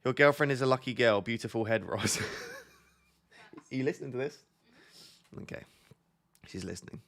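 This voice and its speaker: A man's voice: medium pitch, moderate pace, fairly bright tone. A young man hosting a casual live stream, chatting and reacting to viewers' messages in a self-deprecating way. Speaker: streamer reading live chat.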